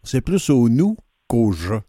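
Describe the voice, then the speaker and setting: A man hosting a radio interview, asking friendly, relaxed questions. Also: medium pitch, slow, slightly rough, no echo, clean studio sound. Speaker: radio host.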